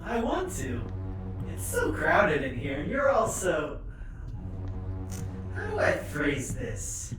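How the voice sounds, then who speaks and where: An actor voicing a mocking, menacing space station artificial intelligence with theatrical, deliberate delivery in an audio drama. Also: mid-range voice, huge pitch swings. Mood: cold, taunting. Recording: echoing, distant sound over music.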